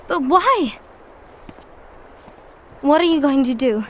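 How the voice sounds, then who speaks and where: Anxious protective young woman, high voice, slow and soft, game scene. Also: lively intonation. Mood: defiant. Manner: soft, worried. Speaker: game character. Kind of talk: video game voice acting. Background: music.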